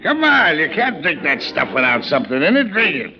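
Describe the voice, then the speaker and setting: An actor playing a loud, pushy, fat drunk in an old radio drama, insistent and repeating himself. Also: male voice, fairly high pitch, huge pitch swings, brisk. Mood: boozy, pushy. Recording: muffled vintage radio recording, music behind.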